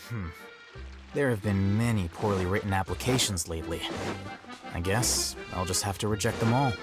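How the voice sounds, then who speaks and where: Man as a stern, low-voiced reviewer. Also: moderate pace, over music, natural voice. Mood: dry.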